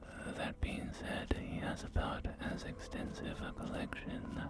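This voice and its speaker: Whispering man, slow ASMR real estate agent. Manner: polite, hesitant salesman patter. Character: courteous real estate agent in a post-nuclear wasteland. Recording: whisper under loud background music. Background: music and game audio.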